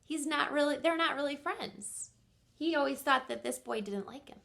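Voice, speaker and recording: A woman reading a picture book aloud expressively as a teacher does for children, pausing to ask simple questions. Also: sits high, creaky, fairly bright tone. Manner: pauses to quiz listeners with simple questions.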